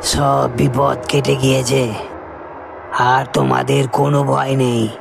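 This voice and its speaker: A man voicing a solemn tantrik exorcist priest in a horror cartoon, with a deliberate, authoritative manner. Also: medium pitch, level tone, gravelly, background noise. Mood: reassuring.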